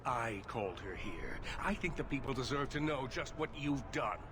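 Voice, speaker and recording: An actor playing a proud, indignant leader of mages in a fantasy game, with a formal, theatrical delivery. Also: male voice, medium pitch, expressive, moderate pace, background noise, close to an everyday voice. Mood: indignant, defensive. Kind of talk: dramatic fantasy game acting.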